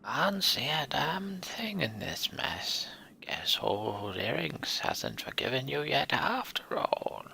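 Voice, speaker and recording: A man voicing a gruff, fatalistic old wanderer in a fantasy game, tired and resigned to the end. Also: medium pitch, huge pitch swings, slow, bright, forceful tone, slight background noise, pitched above the performer's own voice, full character voice. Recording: music behind the voice.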